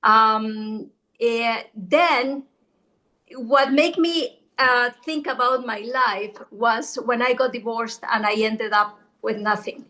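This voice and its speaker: A middle-aged woman from Ecuador talks with an Ecuadorian Spanish accent. Her voice sits a little high, and she tells her story earnestly, often restarting her sentences. She speaks as a guest in a relaxed chat. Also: slow, poor audio. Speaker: guest realtor. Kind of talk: casual interview chat.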